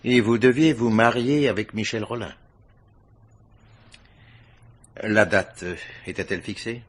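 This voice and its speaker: An actor playing a formal, persistent police commissioner questioning witnesses in a French radio mystery play. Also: male voice, fairly low pitch, close to an everyday voice. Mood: formal, insistent. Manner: formal, insistent questioning with deliberate hesitations.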